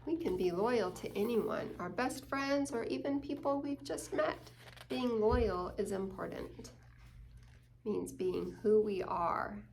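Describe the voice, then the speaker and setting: A woman reading a picture book aloud to children as a story time host, expressively and deliberately, explaining things simply. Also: medium pitch, creaky, darkish tone, slight background noise, rough recording. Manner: slow, deliberate, acting out quoted lines. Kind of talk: expressive children's read-aloud with asides to listeners.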